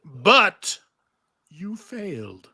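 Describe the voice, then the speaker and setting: A man playing a bitter, grieving musician, speaking slowly and accusingly in a live script read. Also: high-pitched, sing-song, pushed and bright, a little hiss or hum, voice pushed up, heavily acted. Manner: slow, accusing delivery. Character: bitter grieving male musician, blaming a warrior.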